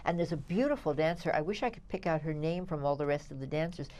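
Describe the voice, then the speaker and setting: A woman's voice: deep voice, fast, a little mellow. A woman co-hosting a theatre review show as a critic, chatting in a digressive way and searching for words.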